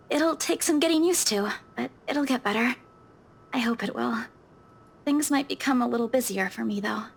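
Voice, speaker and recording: Woman playing a high, breathy, anxious young woman. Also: exaggerated voice. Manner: halting, fragile. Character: shaken, anxious young woman office worker. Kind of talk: visual novel voice acting.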